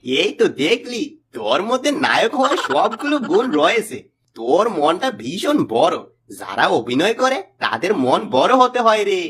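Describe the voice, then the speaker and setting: A man's voice: high voice, wildly swooping pitch, normal speed, a bit bright, a little hiss or hum, heavily acted. A man voicing a sly, smug middle-aged village swindler in a cartoon fable, with a wheedling, theatrical delivery. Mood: flattering, coaxing. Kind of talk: Bengali cartoon fable voice acting.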